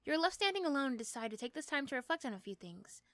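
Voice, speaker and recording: A woman's voice: high-pitched, big pitch swings, fast, no echo, clean studio sound. A woman narrating a scripted second-person story for an ASMR roleplay.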